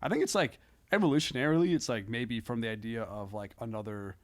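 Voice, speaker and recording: A man hosting a casual comedic podcast chat, thinking aloud in an animated, halting way. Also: mid-range voice. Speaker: podcast host.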